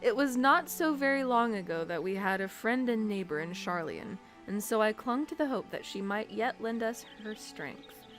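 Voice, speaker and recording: A woman's voice: medium pitch, expressive, a bit bright, soft background music, lightly acted. Woman reading as a solemn, eloquent young scholar. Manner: measured, formal, earnest. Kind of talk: reading game dialogue aloud in character.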